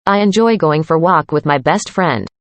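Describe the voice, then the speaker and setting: Low-voiced woman reading lesson dialogue. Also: expressive, moderate pace, no room sound. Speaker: student in a dialogue. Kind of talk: scripted conversation reading.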